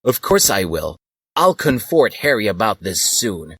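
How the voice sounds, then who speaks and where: A man's voice: on the low side, big pitch swings, measured pace, fairly bright tone, breathy edge, no echo, exaggerated voice. A man voicing a crude, dim-witted adult male werewolf in a mocking comedic story reading.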